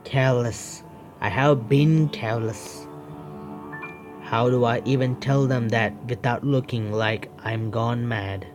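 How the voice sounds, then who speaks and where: A male streamer with reads a visual novel aloud at a medium pitch and adds his own commentary. He is halting, often restarting phrases, and faint music sits behind him. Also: steady tone. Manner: halting reading with stumbles and self-corrections.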